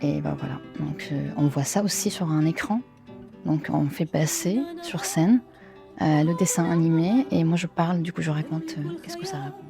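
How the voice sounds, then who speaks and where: A woman's voice: low voice, very animated, moderate pace, gravelly, music in the background. A woman of twenty-nine from Syria with a Syrian Arabic accent, answering interview questions casually in a non-native language and often searching for words. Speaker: interviewee, theatre performer and film worker.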